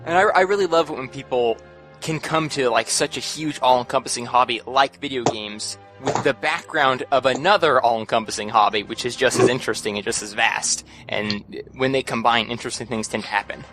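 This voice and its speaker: Bright-voiced playful male co-host. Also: mid-range voice, brisk, soft background music. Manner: playful, self-mocking. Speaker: podcast co-host. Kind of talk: casual podcast chat.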